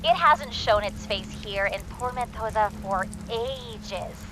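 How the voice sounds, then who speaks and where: A woman's voice: fairly high pitch, takes it slowly, background noise. An actress playing a quirky young woman sidekick in a video game, playful and chatty. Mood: wistful. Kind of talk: video game character acting.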